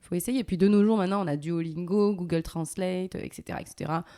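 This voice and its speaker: A woman in her thirties hosts a casual interview. Her voice is mellow and a little low, and her phrasing is gentle and measured. The recording is dry and close. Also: dry recording. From Algeria, raised in France. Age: 30s. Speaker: host interviewing her mother.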